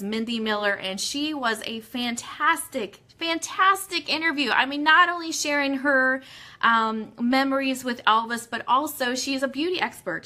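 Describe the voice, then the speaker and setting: A woman's voice: fairly high pitch, pushed and bright. A woman hosting an online radio show in a chatty, bubbly, informal way, moving between news items and greetings to listeners. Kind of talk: chatty livestream hosting with news read-outs. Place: Orlando, Florida.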